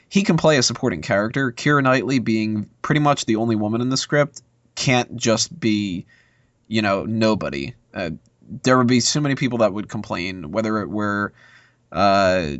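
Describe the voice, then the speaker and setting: A man's voice: mid-range voice, dry recording. A man giving casual, opinionated film commentary as a podcast panelist, discursive and full of asides. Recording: dull lo-fi podcast audio.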